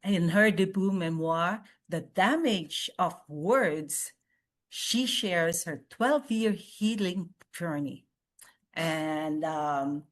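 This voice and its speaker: A woman hosting a podcast interview, talking casually with many hesitant fillers. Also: on the low side. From Philippines. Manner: hesitant, frequent fillers, cheerful. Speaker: podcast host.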